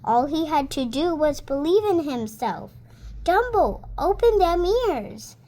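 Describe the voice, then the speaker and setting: A girl of about seven reading a storybook aloud in a careful, halting, word-by-word way. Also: high-pitched, sing-song, warm tone. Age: child, about seven. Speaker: young reader.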